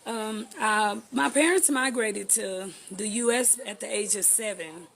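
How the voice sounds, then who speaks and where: A woman's voice: mid-range voice, a little slow, vocal fry, a bit bright, low-quality recording. Woman, 30s, sincere YouTuber intro, faint background noise. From Haiti, raised in the US. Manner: earnest, direct address to viewers. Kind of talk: channel introduction.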